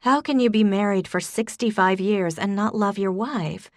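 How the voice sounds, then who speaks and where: A woman voicing an eager young woman who speaks emphatically, pitched above her narration. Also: normal speed, dry recording, clean studio sound, close to an everyday voice.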